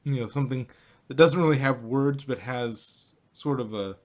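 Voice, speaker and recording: A man co-hosting a casual review podcast, halting and laughing often as he talks. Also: medium pitch, darkish tone. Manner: laughing, halting, many fillers. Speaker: podcast co-host.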